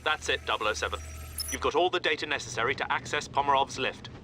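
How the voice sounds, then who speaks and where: An actor playing an efficient agency handler, formally instructing an agent in a video game. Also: male voice, medium pitch, fast talker, over music, natural voice. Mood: brisk. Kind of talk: game mission briefing.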